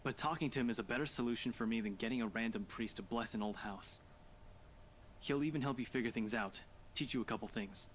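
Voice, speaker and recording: A man voicing a skeptical, rational young man in a visual novel game, speaking in a calm, matter-of-fact and dismissive way. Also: medium pitch, a little fast, slight background noise, lightly acted.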